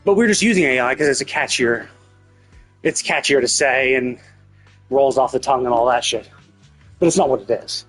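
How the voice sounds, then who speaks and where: A man's voice: mid-range voice, moderate pace, pauses often, a bit bright. A man live-streaming a story game, giving casual commentary in a rambling, repetitive, emphatic way. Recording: game music behind the voice. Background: game music.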